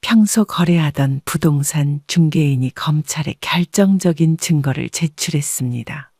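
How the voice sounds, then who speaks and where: A woman reading a first-person story as an audiobook narrator in a measured, composed way. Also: low-pitched, dark tone, no echo, clean studio sound.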